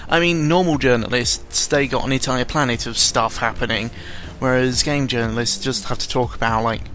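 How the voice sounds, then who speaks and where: A young man giving casual, unscripted commentary in a rambling, halting way, often interrupting himself, with a sarcastic, self-deprecating and exasperated manner. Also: mid-range voice, steady tone, a bit bright, a little hiss or hum. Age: young adult. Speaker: YouTuber recording an audio log. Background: background music.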